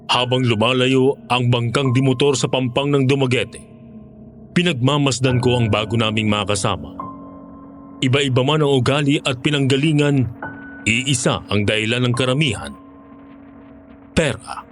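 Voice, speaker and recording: A man's voice: mid-range voice, normal speed, pauses often, soft background music. A man with a Filipino accent telling a scripted first-person horror story as the narrator. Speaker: narrator of a horror audio drama.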